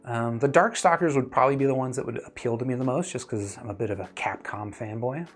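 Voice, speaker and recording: A man's voice: medium pitch, fast, faint music behind. A man giving gear opinions as a reviewer and host, chatting casually and thinking aloud as he answers viewers. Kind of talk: casual livestream chat answering viewer questions.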